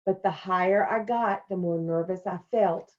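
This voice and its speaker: A woman reading a children's storybook aloud and talking to young pupils in simple, deliberate phrases. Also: on the low side, muffled recording. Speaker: elementary school staff member reading a picture book to children.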